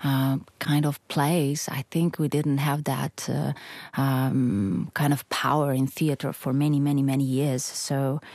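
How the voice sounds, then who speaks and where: This is a woman with a Ukrainian accent, English not her first language. Her voice sits low and dark with a gravelly edge. She answers interview questions earnestly, pausing and restarting as she searches for words. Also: level tone, no echo. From western Ukraine. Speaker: musician guest.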